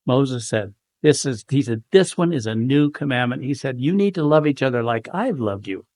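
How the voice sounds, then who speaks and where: A man's voice: medium pitch, animated, normal speed, darkish tone, no room sound. A man speaking earnestly on a devotional panel, quoting scripture with growing emphasis. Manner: earnest, emphatic, quotes scripture with rising fervor. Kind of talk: informal devotional talk.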